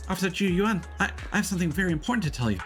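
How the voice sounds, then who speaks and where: Man putting on a high, gushing young woman. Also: expressive, very fast, fairly bright tone. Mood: earnest admiration. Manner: gushing, earnest. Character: earnest, admiring young woman, grateful and bashful. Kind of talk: reading game dialogue aloud. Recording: music playing behind the voice.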